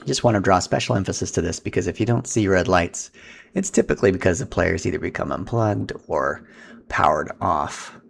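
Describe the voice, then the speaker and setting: A man walks through a hands-on setup in an informal, instructional style. His voice sits low and has a gravelly, creaky edge. Faint background noise runs under the recording. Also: brisk. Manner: informal, instructional, talking while handling equipment. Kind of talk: casual step-by-step tutorial.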